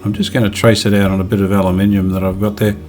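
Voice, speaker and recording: Low-voiced man narrating a hands-on workshop video. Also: flat delivery, racing, darkish tone, faint music behind. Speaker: restoration hobbyist presenting his own video.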